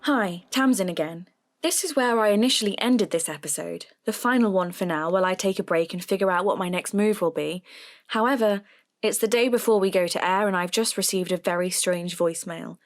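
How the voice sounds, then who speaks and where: A woman playing an earnest, determined podcaster, narrating a mystery audio drama in a measured, confiding way. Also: mid-range voice, lightly acted. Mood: unsettled, resolute. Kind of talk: scripted first-person audio drama narration.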